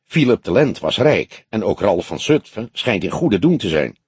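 A man's voice: lowish voice, big pitch swings, moderate pace, darkish tone, breathy edge, no room sound, heavily acted. A man voicing a young male detective sidekick, restless and questioning, in an audiobook. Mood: curious.

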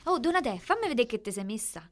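Giddy naive roman teen girl, higher voice, slangy gushing, radio drama. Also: big pitch swings, fast talker. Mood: excited. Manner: gushing, colloquial. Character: excitable, naive, giggly Roman teenage girl.

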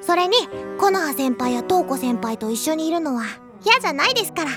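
A woman's voice: high-pitched, big pitch swings, fairly quick, soft background music. A woman playing a cute younger schoolgirl, polite and playful, in an anime-like Japanese audio drama. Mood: subdued, frank. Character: cute, teasing younger schoolgirl masking her true feelings.

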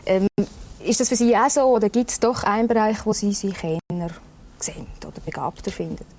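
A woman hosting a TV talk show, presenting and interviewing in a chatty, slightly halting way. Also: medium pitch, expressive, a little mellow, a little hiss or hum.

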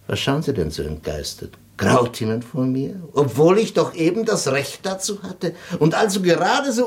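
An actor playing a cynical, mocking former prison doctor in a German radio drama, speaking theatrically and provocatively. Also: male voice, mid-range voice, slightly rough, full character voice. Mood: mock-sinister, teasing. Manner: theatrical, provocative, breaking into chuckles.

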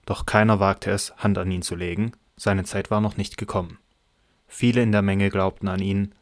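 A man's voice: low-pitched, flat delivery, moderate pace, no room sound, studio quality. A man reading scripted narration for a Bible audio drama.

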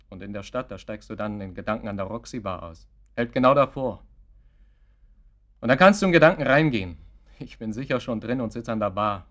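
Actor as defiant, sarcastic grown son. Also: male voice, lowish voice, a bit bright, lightly acted. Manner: sarcastic, curt, dismissive. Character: defiant, irritable grown son, bitter and mocking. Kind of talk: German radio play acting.

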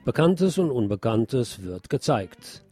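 A man's voice: medium pitch, big pitch swings, slow pace, mellow, breathy edge, soft background music. A man presenting scripted radio news as a narrator, with a slightly wry, colloquial delivery.

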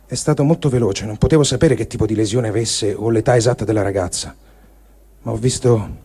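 A man's voice: medium pitch, warm tone, breathy edge. Grave man reading a war diary. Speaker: reader.

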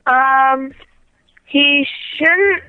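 High-voiced woman on a tinny phone line. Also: drawn-out words, dry recording. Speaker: prank call recipient. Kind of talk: casual phone conversation. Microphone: telephone. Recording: thin, narrowband phone line.

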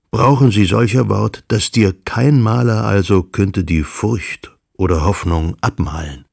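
An actor playing a weary, grave man brooding over faith and death, reciting literary radio drama with a gravelly, husky, deliberate delivery. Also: low-pitched, darkish tone, no room sound, studio quality, exaggerated voice.

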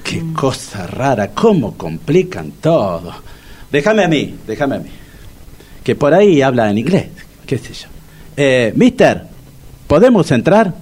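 A man's voice: medium pitch, sing-song, unhurried, darkish tone, husky. An actor playing a jovial, wisecracking middle-aged man with a Rioplatense accent. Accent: Rioplatense Spanish. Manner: chummy wisecracks.

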